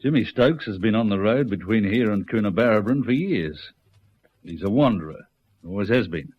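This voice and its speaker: A man playing a brisk, unflappable Australian country police sergeant in a radio drama, businesslike and reassuring. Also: on the low side, animated, slightly rough. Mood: calm, skeptical. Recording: muffled old radio recording.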